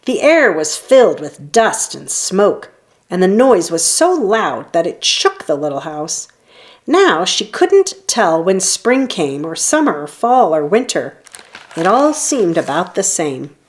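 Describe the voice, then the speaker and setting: A woman reading a children's story aloud in an expressive storytelling manner, leaning on key phrases. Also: lowish voice, slow. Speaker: storybook reader. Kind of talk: children's read-aloud narration.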